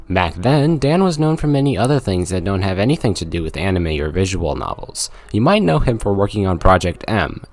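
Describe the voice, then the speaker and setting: A man's voice: low voice, a little mellow. A young man reading a scripted video game review, with jokey, self-aware asides. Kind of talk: scripted video essay narration. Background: background music.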